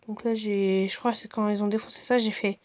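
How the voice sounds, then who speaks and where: A hoarse woman giving relaxed, rambling commentary over her own game replays, full of fillers and restarts. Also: mid-range voice, a little fast, no echo. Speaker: gaming video host. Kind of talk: casual gameplay commentary.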